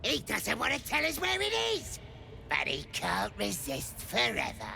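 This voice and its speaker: A menacing goblin jailer from a fantasy video game. The voice is full of gravel and takes its time, swinging widely in pitch. The delivery is rough and taunting, with faint music behind it. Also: wildly swooping pitch, measured pace, sharp tone, a little hiss or hum, full character voice. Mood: scornful. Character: crude, menacing goblin brute, jailer and torturer.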